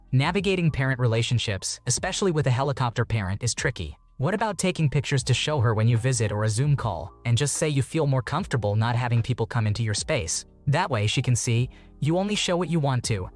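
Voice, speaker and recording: A man reading forum stories aloud as a scripted narration and adding short comments of his own, in a brisk, even reading voice. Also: medium pitch. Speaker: narrator reading online posts. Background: background music.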